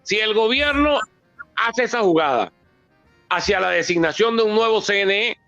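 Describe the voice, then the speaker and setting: A man with a Venezuelan accent speaks in a high, bright and forceful voice. He gives impromptu political commentary in an emphatic, oratorical way, stacking up repetitions. The sound is dry. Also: expressive, moderate pace, no room sound, muffled recording, soft background music. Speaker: political analyst and author speaking at a book presentation.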